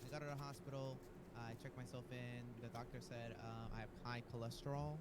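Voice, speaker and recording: A man's voice: medium pitch, level tone, fairly quick. A man improvising in a roleplay game on a livestream, talking hesitantly and often repeating his words. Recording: clearly noisy livestream game audio.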